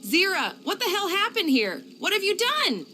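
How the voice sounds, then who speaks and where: A woman's voice: extremely high pitch, very animated, moderate pace, bright, forceful tone, faint music behind, heavily acted. A woman playing a hot-tempered, bitter elf archer in a fantasy game cutscene. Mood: furious, accusing. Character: furious, bitter female elf archer inquisitor. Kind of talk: scripted video game cutscene acting.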